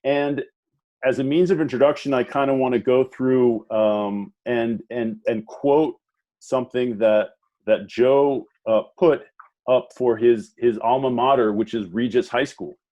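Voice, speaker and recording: A man's voice: medium pitch, fairly even intonation, dark tone. Guy moderating a zoom chat, lots of ums and false starts, muffled call audio. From Washington, D.C. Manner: halting, many fillers and restarts. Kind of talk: hesitant conversational introduction.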